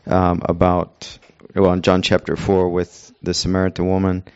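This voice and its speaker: A man's voice: sits low, completely flat delivery, moderate pace, darkish tone, clear, resonant tone, no room sound. A man in a church discussion, earnestly explaining a point with historical references. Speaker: church member sharing. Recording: dry, dull lo-fi sound.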